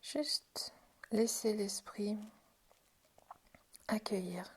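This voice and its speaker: Woman teaching a gentle relaxation exercise, soft husky breathy voice, kinda whispery at times, reassuring. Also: medium pitch, drawn-out words. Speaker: Jin Shin Jyutsu self-help instructor. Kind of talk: guided practice lesson, spoken informally.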